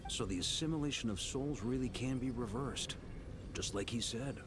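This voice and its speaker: An actor playing an easygoing, bold knight commander in a video game, with a breezy, theatrical manner. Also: male voice, mid-range voice, moderate pace, a little hiss or hum, lightly acted. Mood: amazed.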